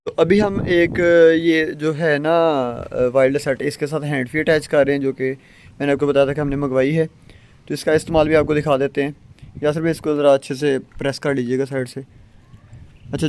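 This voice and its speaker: A man's voice: on the high side, darkish tone. A man giving a casual product demonstration outdoors as a reviewer, over wind noise. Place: outdoors, open field and rooftop.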